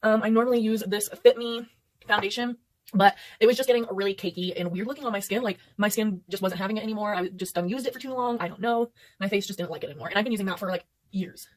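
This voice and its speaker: A woman's voice: medium pitch, quick pace. A young woman chatting casually through a makeup tutorial, rambling and poking fun at herself, recorded indoors at night. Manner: rambling, chatty, self-deprecating. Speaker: beauty vlogger. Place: indoors under artificial light at night.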